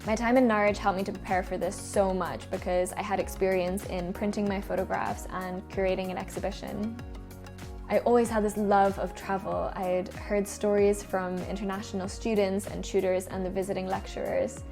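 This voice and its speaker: An Irish woman, around thirty, presents a personal vlog. Her voice sits at an ordinary pitch for a woman and often drops into fry. Music plays softly underneath as she narrates. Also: level tone, moderate pace. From Ireland. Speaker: freelance photographer presenting a vlog.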